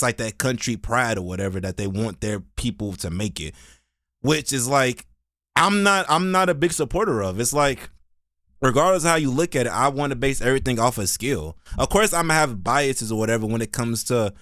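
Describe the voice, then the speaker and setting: A man's voice: mid-range voice, a bit bright, no room sound, studio quality. An American man giving casual reaction commentary in a slangy, opinionated, rambling way. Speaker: reaction video host.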